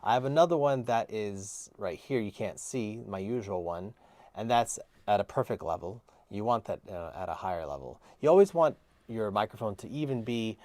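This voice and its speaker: A man with a baritone voice hosting a casual livestream lesson, chatting while he demonstrates microphones and moves closer to and away from them. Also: darkish tone. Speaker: cello teacher hosting a live stream. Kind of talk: casual livestream demo talk. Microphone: switches between several desk microphones.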